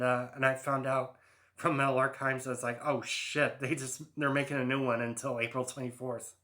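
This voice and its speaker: A man's voice: mid-range voice, bright, forceful tone. A man in his early thirties, hosting a casual album ranking, rambling and hesitant with many fillers and restarts. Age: 30s. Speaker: YouTube host.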